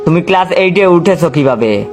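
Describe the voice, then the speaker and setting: A man's voice: fairly high pitch, animated, normal speed, over music. A man narrating a scripted comic story as a storyteller.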